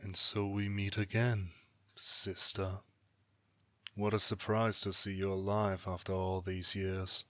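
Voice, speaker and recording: Casting: cold, proud immortal brother played by a man, lowish voice, dry close sound, formal and disdainful. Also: flat delivery, fairly bright tone, no room sound. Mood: cold, haughty. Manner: formal, haughty, measured rhetoric. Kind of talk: scripted audio roleplay drama acting.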